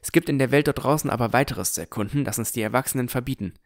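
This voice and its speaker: A man playing an earnest, curious boy who narrates a fantasy audio drama in a measured, descriptive way. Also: medium pitch, dry recording, studio quality, lightly acted. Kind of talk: scripted first-person audio drama narration.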